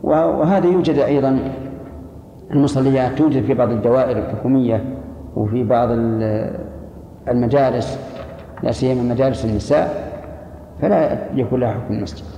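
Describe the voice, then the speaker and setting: A man's voice: mid-range voice, steady tone, a little fast, long pauses, warm tone. An older man with a Saudi accent, a religious scholar explaining texts and answering questions in a lesson. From Saudi Arabia. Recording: muffled, echoey hall, faint noise.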